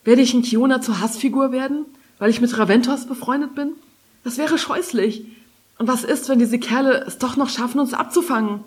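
A woman's voice: on the high side, fairly quick, husky, voice pushed up. A woman voicing a curious, impulsive girl heroine in a fantasy storybook, earnest and questioning in manner. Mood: worried.

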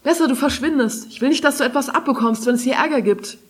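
A woman's voice: sits high, fast, slightly rough, pitched above the performer's own voice. A woman voicing a curious, impulsive girl heroine in a fantasy storybook, earnest and questioning in manner. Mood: concerned.

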